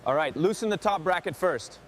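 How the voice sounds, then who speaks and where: Man acting high-pitched restoration technician. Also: animated, brisk, a little hiss or hum. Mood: businesslike. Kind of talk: scripted workshop team dialogue.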